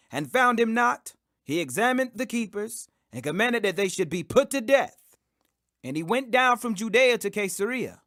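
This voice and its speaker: A man's voice: high-pitched, bright, forceful tone, no room sound, clean studio sound. A man reading scripture as an audiobook narration, in a solemn, declamatory, phrase-by-phrase delivery. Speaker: Bible reader.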